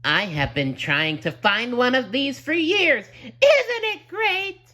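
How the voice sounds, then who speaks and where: A man voicing an excitable, childlike cartoon sea sponge with a gushing, earnest manner. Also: very high-pitched, slow, pitched above the performer's own voice, heavily acted. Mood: awed, thrilled.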